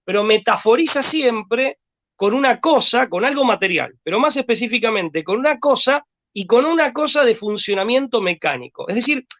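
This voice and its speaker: A man's voice: very high-pitched, expressive, moderate pace, a bit bright. A man from Rosario with an Argentine accent, speaking as a guest in a rambling, hesitant way, repeating words and leaning on fillers. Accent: Rosario Argentine Spanish. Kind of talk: discursive conversation.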